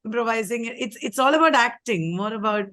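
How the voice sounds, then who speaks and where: A woman hosting an interview, asking measured, probing questions. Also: fairly high pitch, animated, a bit bright. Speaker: interviewer host.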